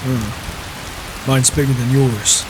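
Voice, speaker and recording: A man's voice: mid-range voice, fairly even intonation, very slow. A man playing a proud, brash wandering warrior in a fantasy game roleplay, challenging and sardonic, on a noisy microphone. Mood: sardonic, grumbling. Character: proud, cocky, reclusive male wandering warrior. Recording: noisy microphone with rain and thunder.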